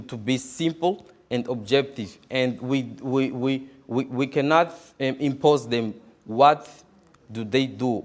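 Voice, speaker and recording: Slow, halting man presenting. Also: medium pitch. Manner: halting, many repeated words. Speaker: conference speaker. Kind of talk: conference presentation.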